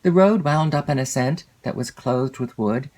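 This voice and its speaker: A woman reading classic literary fiction as an audiobook narrator, in a measured, formal way with expressive phrasing. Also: very deep voice. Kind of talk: scripted literary narration of a classic gothic novel.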